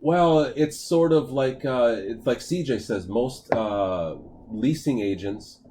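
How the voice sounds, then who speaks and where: A middle-aged man with a deep voice, co-hosting a casual podcast chat, rambling and halting with many fillers and restarts. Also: background noise. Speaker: podcast co-host.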